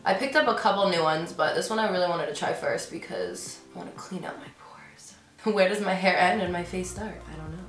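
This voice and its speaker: A woman's voice: on the low side, brisk, a bit bright. A young woman beauty vlogger in her twenties chatting casually on camera, stuffy from a cold, and filling her talk with "like". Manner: chatty, lots of "like", giggly. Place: bedroom with decorated shelves. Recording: roomy home room with background music.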